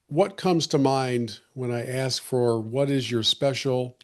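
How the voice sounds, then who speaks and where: A man hosting an interview podcast, speaking in a reflective, measured way with long, flowing questions. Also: medium pitch, darkish tone. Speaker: podcast host.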